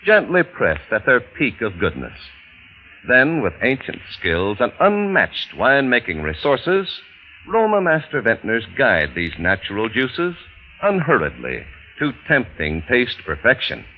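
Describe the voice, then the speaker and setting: A man announcing in polished, emphatic 1940s radio advertising style, as a sponsor's pitchman. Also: bright, forceful tone. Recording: faintly noisy vintage radio recording.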